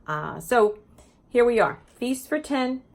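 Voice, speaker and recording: A woman's voice: fairly high pitch, animated, takes it slowly, creaky. Woman explaining a children's read-aloud. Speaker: teacher presenting educational read-aloud videos for teachers. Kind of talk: informal instructional talk with children's picture book read-aloud.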